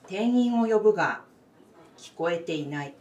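A woman's voice: lowish voice, animated, moderate pace, a little mellow. A woman reading scripted narration for a radio drama. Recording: distant mic with faint background noise.

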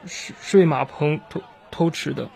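A man voicing a brave, lonely young orphan street child, earnest and halting, in an audio drama. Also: fairly high pitch, creaky, a little mellow, some chatter behind. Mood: timid. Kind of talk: Chinese audio drama acting.

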